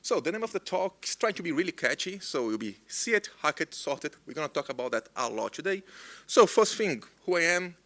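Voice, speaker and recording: A man's voice: fairly high pitch, big pitch swings, fairly quick, a bit bright. A young man from northeast Brazil with a Brazilian Portuguese accent, a native Portuguese speaker, gives an enthusiastic conference talk and often restarts his sentences. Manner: enthusiastic, loose phrasing with frequent restarts.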